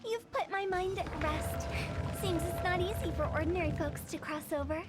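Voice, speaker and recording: A woman's voice: extremely high pitch, moderate pace. A woman voicing a playful young female funeral director who teases and laughs often. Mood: teasing. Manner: teasing, mischievous, laughs often. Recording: game audio with music.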